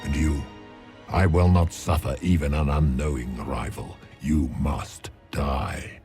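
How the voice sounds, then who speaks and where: Ancient would-be god for a fantasy game: towering, arrogant darkspawn, bottomless low pitch, unhurried grandiose delivery. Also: male voice, steady tone, mellow, soft background music. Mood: grim resolve. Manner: grandiose, imperious, slow deliberate pronouncements. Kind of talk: fantasy video game villain acting.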